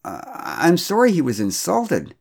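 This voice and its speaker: A rattled woman stumbles over her words, close to tears, voiced by a man reading a story aloud. Her voice is pitched well above his own and swings up and down as she pleads her case. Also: huge pitch swings, a little slow, creaky, full character voice. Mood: upset, pleading. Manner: stammering, tearful. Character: upset local woman, shaken and stammering.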